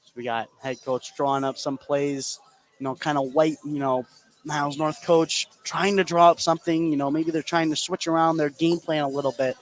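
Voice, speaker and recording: An American male play-by-play announcer with a slightly high voice calls a live basketball game. He follows the action in running phrases with an excitable delivery, as on sports radio. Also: moderate pace.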